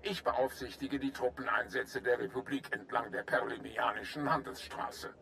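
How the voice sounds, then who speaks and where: A genial alien officer from a video game. He is chatty and refined, with a bright, forward voice over faint noise. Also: mid-range voice, steady tone, normal speed, creaky. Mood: cordial. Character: genial alien Republic military commander who loves tea. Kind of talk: video game cutscene acting.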